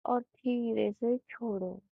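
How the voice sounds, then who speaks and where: A woman with an Indian accent narrating a guided meditation in a soothing, unhurried manner, leaving long pauses between phrases. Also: a little high, fairly even intonation, vocal fry, dry recording. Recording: thin, phone-like narrow band.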